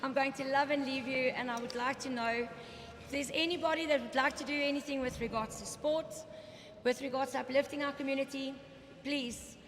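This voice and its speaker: A hoarse woman presenting awards in a formal, emphatic, measured speech at a dinner. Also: sits high, steady tone, a bit bright, a little hiss or hum. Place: indoor banquet hall. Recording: echoey hall with background chatter.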